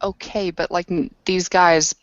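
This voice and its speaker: A woman chatting casually as a player in a tabletop roleplaying game, slangy, argumentative and quick to swear. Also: lowish voice, fairly even intonation, dry recording. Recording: dull, close lo-fi recording.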